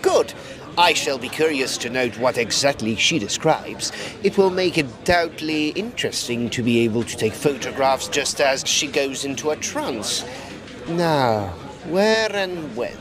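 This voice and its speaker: Actor as urbane, animated gentleman detective. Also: medium pitch, a little slow, a crowd in the background, natural voice. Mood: eager, courteous. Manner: courteous, urbane, teasing. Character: suave, eager Edwardian occult detective, man. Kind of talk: audio drama dialogue.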